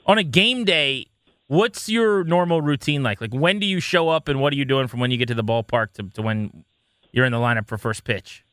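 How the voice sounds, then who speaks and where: A man's voice: mid-range voice, brisk, a bit bright, no room sound. An American man co-hosting a sports radio interview, asking questions in a conversational way on a close studio mic. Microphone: close studio microphone.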